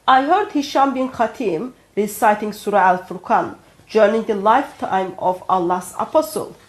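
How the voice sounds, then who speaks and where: A woman from Turkey with a Turkish accent, speaking careful, halting non-native English as she co-hosts and explains references. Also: fairly high pitch. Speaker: co-host. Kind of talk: explanatory discussion with read-out references.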